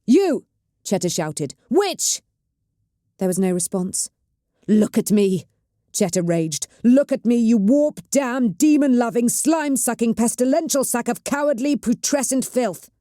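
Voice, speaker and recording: A woman's voice: lowish voice, steady tone, normal speed, few pauses, dark tone, dry recording, clean studio sound, close to an everyday voice. Woman voicing Cheta, a gritted-teeth navigator. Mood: furious. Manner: gritted-teeth, acid and commanding. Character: battered stubborn woman navigator in chronic pain.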